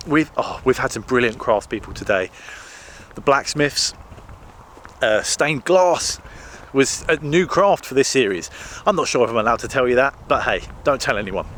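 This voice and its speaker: A British man with a hoarse, fading voice, chatting enthusiastically to a handheld camera as he walks through a garden. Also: mid-range voice, animated, moderate pace, a bit bright, slight background noise. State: hoarse, losing his voice. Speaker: vlogger and TV presenter. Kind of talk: casual walk-and-talk vlog. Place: outdoors in a large garden.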